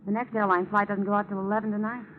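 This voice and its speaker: A woman's voice: mid-range voice, level tone, racing, natural voice. An actress playing a poised, saucy female stowaway with a cool, polite manner, in 1940s radio style. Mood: apologetic. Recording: tinny, hissy 1940s radio recording.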